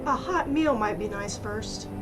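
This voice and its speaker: A woman's voice: mid-range voice, unhurried, over loud music, close to an everyday voice. A woman voicing a young elf recruit in a fantasy game, wary and forthright. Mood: questioning. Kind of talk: fan-voiced fantasy game dialogue.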